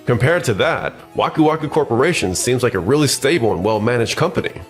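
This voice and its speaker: Man acting as a scheming, excitable office worker. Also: mid-range voice, fast talker, lightly acted. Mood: feigned concern. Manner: stammers when cornered, excitable. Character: scheming, self-serving male office worker, flustered when cornered. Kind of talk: anime-style dramatized dub acting. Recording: music bed behind the voice.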